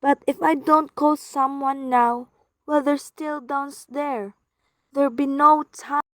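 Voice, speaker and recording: A woman's voice: high voice, steady tone, slow, a bit bright, no echo. A woman acting a nervous, demanding invalid wife in a suspense radio play, imperious and pleading. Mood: frightened.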